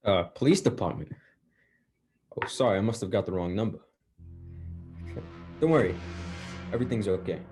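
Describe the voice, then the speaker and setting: An actor plays an offhand, untroubled man on the phone in a radio thriller. His voice is rough and on the low side, with music behind it. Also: expressive, moderate pace, long pauses, a little mellow. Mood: offhand, reassuring. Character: casual, untroubled man on a telephone. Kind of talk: 1940s radio drama acting.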